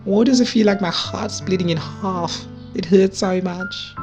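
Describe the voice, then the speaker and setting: A man's voice: high-pitched, a little slow, over music, voice pushed up. A man voicing a tearful, lovesick teenage girl from a visual novel, full of self-loathing and pleading dramatically. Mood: anguished.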